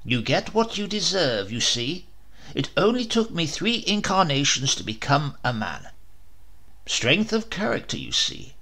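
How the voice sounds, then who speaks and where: Man doing a stern old king, scolding, gravelly, bright and forceful, lively delivery, storybook. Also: on the high side, unhurried, full character voice. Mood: scornful. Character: stern noble old male king, mocking. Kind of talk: storybook reading.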